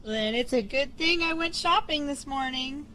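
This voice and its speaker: A woman's voice: high-pitched, normal speed, bright, forceful tone, a little hiss or hum. A woman playing a caring, fretting grandmother in an audio drama.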